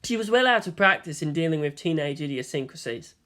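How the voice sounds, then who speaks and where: A woman's voice: low-pitched, lively intonation, a little fast, a bit bright. A woman reading a story aloud as scripted narration. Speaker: fan fiction reader and narrator.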